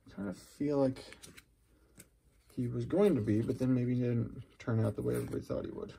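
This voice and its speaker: A middle-aged man with a husky, gravelly voice, chatting casually and musing aloud as he hosts a card-opening video. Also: medium pitch, fairly even intonation, a little fast, dark tone, slight background noise, rough recording. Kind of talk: casual unscripted commentary while handling cards. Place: indoors at a wooden table.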